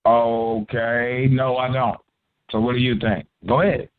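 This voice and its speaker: A man's voice: medium pitch, level tone, unhurried. A man hosting a call-in show, talking bluntly and confrontationally, swearing often and pressing callers with pointed questions. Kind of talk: combative call-in talk. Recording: tinny phone-line audio.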